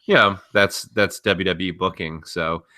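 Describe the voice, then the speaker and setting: A man's voice: sits low, steady tone, normal speed, a loud crowd. A man co-hosting a casual wrestling podcast, giving informal, matter-of-fact critical commentary. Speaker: podcast co-host.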